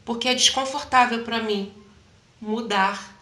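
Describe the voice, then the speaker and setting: A woman in an interview who explains how the body works in an animated, talkative way, slipping into little acted-out quotes. Her voice sits a bit high and sounds bright. The room adds a clear echo. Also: a little slow. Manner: animated, acts out quoted voices, laughs often. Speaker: health practitioner guest.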